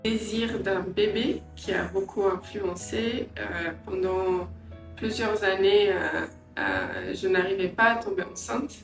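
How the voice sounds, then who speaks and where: A middle-aged woman from Angola and England giving a personal interview, reflective and hesitant, often pausing mid-sentence. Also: medium pitch, gravelly. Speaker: interviewee, entrepreneur and language teacher. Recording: roomy echo, distant mic, faint music.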